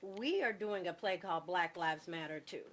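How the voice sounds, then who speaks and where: A woman's voice: on the low side, moderate pace, a bit bright. A woman talking earnestly as a cast member on an interview panel, making her points assertively and with repetition. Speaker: guest, stage play cast member. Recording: clearly noisy background.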